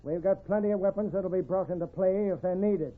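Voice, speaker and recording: An actor playing a stubborn, greedy boss of gold-seeking settlers in a 1940s radio western, gruff, bossy and defiant. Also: male voice, high-pitched, fairly quick. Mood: bossy, irritated. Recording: tinny, noisy 1940s radio recording.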